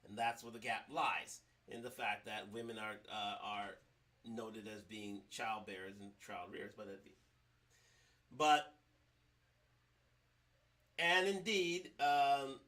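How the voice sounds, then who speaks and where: A man hosting a livestream, commenting on played clips in a halting way with many restarts. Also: on the low side, bright, forceful tone. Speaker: livestream host.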